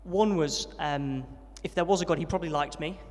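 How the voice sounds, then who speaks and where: A young man recounts his upbringing at a brisk pace and a slightly raised pitch. He is friendly and self-deprecating, with plenty of ums. His voice rings with the long reverb of a large hall. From Yorkshire, England. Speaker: interviewee, trainee vicar. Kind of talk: testimony interview at a student event.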